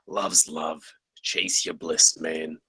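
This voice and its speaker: Man playing a gravelly, deadpan campus security guard. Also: very deep voice, slow pace, no echo, full character voice, poor audio. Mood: teasing. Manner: deadpan, offhand. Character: lazy, jaded campus security guard on a Segway. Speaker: actor in a screenplay table read. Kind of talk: comedy script read aloud.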